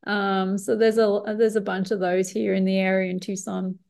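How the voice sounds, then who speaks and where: Interview guest: woman, British-Australian accent, gravelly fry, loose rambling delivery. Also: medium pitch, normal speed. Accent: mix of British and Australian. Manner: relaxed, rambling, many fillers and restarts. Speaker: guest, retired pro triathlete. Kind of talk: casual interview chat.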